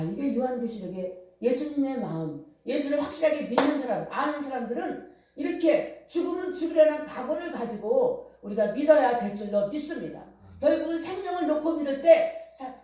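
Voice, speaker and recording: A woman's voice: high voice, warm tone. A middle-aged woman pastor preaching a revival sermon, telling stories and urging the congregation to repent. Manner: preaching with storytelling, fervent calls to repent. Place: church hall. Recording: very echoey hall, far from the mic.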